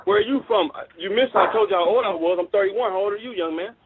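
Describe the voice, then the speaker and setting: A man's voice: sits high, fast talker. A man in his thirties from Southern California, calling in and lecturing argumentatively in a heated radio debate. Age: 30s. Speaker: caller. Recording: tinny phone line with faint hiss.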